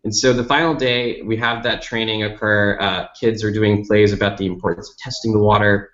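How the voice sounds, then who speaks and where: A man's voice: fairly low pitch, fairly even intonation, a little fast, fairly bright tone. An American man from Dallas, a company founder, giving articulate, methodical explanations as an interview guest. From Dallas, United States. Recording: roomy, slightly dull lo-fi audio.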